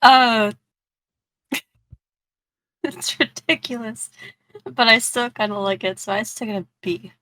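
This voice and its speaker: A woman's voice: on the high side, slow pace, long pauses, bright, forceful tone, no room sound, rough recording. A woman giving brief reactions and opinions in a casual group chat.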